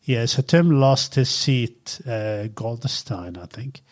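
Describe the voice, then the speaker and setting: A middle-aged man with a husky voice hosts a casual chat. He talks in a relaxed, halting way, with plenty of fillers and restarts. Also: mid-range voice. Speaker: podcast host, father.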